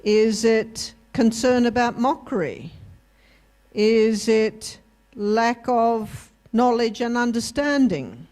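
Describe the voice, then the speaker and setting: Female co-facilitator, slow measured pace, step-by-step, prompts the group. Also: on the high side, darkish tone. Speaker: workshop co-facilitator. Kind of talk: interactive workshop teaching. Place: university hall workshop.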